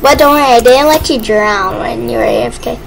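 A woman chatting casually and improvising role-play while gaming, excitable and bossy. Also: a little high, fairly quick, creaky. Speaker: gaming video player and role-play partner. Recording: noisy game-play voice recording.

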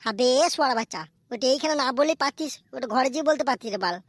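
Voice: high voice, rapid-fire, no room sound, studio quality. A performer voicing a cheeky, crude, boastful younger brother in a slapstick comedy cartoon. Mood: annoyed.

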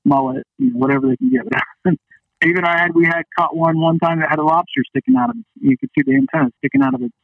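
A man's voice: on the high side, lively intonation, quick pace, vocal fry, no room sound. A man chatting casually as a guest fishing captain over the phone, folksy and full of "you know". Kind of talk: casual storytelling interview chat. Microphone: phone call. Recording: dull band-limited phone line.

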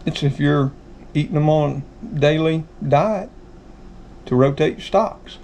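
Folksy Southern man with gravelly voice. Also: on the high side, takes it slowly, darkish tone, slight background noise. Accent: Southern American. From American South. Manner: folksy, addresses viewers as folks. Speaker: channel host. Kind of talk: casual how-to talk. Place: home kitchen.

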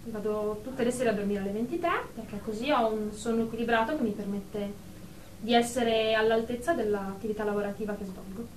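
A woman's voice: on the high side, fairly even intonation, fairly quick, a little mellow, close to an everyday voice. An actress playing a haughty, self-important career woman in a radio drama therapy session. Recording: echoey room, distant mic, faint noise.

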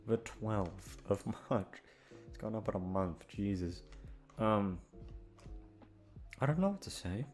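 Low-voiced, awkwardly rambling young-sounding man. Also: lively intonation, slow pace, pauses often, darkish tone, soft background music. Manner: awkward, self-deprecating, swearing, scattered. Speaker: audio roleplay voice actor, channel host. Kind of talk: casual rambling outro chat.

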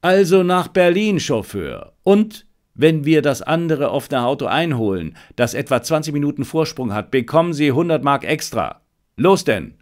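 A man voicing a confident gentleman amateur detective in an audiobook, self-assured and commanding, given to reflection. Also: a little high, sing-song, moderate pace.

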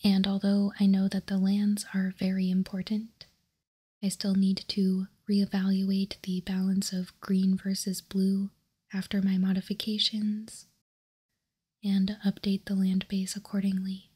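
Lady doing asmr, soft breathy whisper, really slow n calm, barely moves in pitch. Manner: calm, measured explaining. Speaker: host of a card game ASMR channel.

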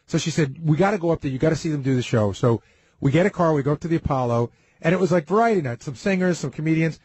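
Fast-talking husky man telling stories. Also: fairly high pitch, lively intonation, a little mellow, no echo. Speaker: radio show staffer on a talk panel. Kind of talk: casual chat and anecdotes.

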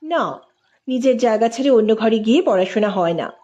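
A woman's voice: on the high side, animated, fairly quick, mellow, highs cut off, lightly acted. A woman voicing a guarded, formal young female hostel warden in a story reading. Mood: stiff, formal. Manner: formal, reserved.

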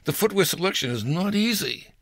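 A man's voice: high-pitched, animated, fairly quick, gravelly, fairly bright tone, dry recording. An older man reading his own humorous personal story aloud in a wry, storytelling manner. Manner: wry storyteller with playful voices.

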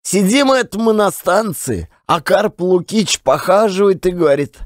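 A man playing a high-voiced folksy Russian yarn-spinner. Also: animated, no room sound, clean studio sound. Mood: amused, relishing. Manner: colloquial, folksy, theatrical with drawn-out words. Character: garrulous folksy provincial Russian man spinning rueful yarns. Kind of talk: dramatized audiobook storytelling, monologue.

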